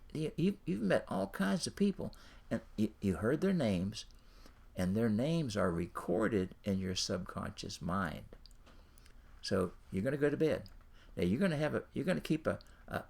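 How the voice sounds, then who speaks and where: A man's voice: medium pitch, gravelly, darkish tone. A man giving an informal instructional talk as a coach, speaking directly to the listener and repeating his phrases. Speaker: ministry and business coach.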